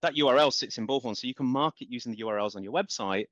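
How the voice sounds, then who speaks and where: A man's voice: medium pitch, racing, a bit bright, dry recording. A man, a guest on a business interview, explaining with passion in long run-on sentences and repeated words. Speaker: guest, marketing company founder. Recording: dull, lo-fi.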